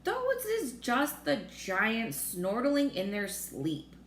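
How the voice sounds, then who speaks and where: A woman voicing a calm, friendly male giant from a children's storybook, speaking in folksy, garbled grammar. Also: on the high side, takes it slowly, voice pushed up, full character voice. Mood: assured. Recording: roomy echo with faint noise.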